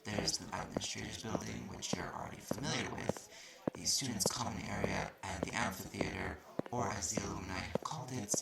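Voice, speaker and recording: A man's voice: lowish voice, brisk, creaky, echoey room. A man playing a haughty, slightly mean magic-university student in an audio roleplay, explaining things in a curt, condescending way. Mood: haughty, explanatory. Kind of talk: audio roleplay acting addressed to the listener. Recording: noisy recording with clicks.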